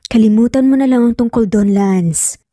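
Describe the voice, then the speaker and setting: A woman's voice: a little high, normal speed, warm tone, no room sound, clean studio sound, natural voice. A Filipino woman playing a guarded, emotional young mother in a romance story. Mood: guarded, hurt. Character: guarded young single mother, tender but torn.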